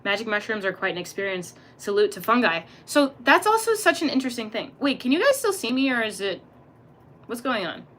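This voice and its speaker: Young woman rambling on stream, bright sharp voice, lots of slang, super animated. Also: a little high, brisk. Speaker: livestreamer reading chat. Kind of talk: casual live-stream chat.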